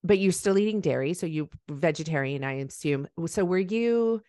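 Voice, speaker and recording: A woman's voice: lowish voice, animated, normal speed, dark tone, dry recording, studio quality. A woman from Malawi hosting a podcast, talking in an enthusiastic, conversational way and asking her guest questions. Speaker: podcast host. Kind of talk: casual interview.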